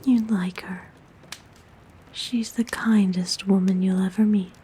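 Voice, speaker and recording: A woman voicing a kind, gentle forest witch in a soft, breathy whisper, soothing and tender, for an ASMR roleplay. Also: medium pitch, steady tone, slow, slight background noise, natural voice. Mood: warm, affectionate.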